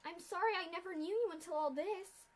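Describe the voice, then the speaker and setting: Scootaloo, woman doing a high urgent filly. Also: fairly quick. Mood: tender. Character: brave young filly pegasus leading a revolt.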